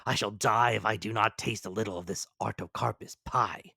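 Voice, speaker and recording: A man voicing a gruff, brash and roguish Canadian harpooner in an emphatic, blunt way for a dramatic audiobook. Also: fairly low pitch, fairly even intonation, normal speed, bright, forceful tone, dry recording, clean studio sound, heavily acted. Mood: eager.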